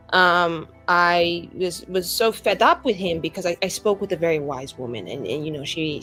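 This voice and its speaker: A woman's voice: fairly low pitch, a bit bright. A woman improvising in character in a tabletop roleplaying game, chatty and hesitant as she rambles. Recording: music behind the voice. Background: background music.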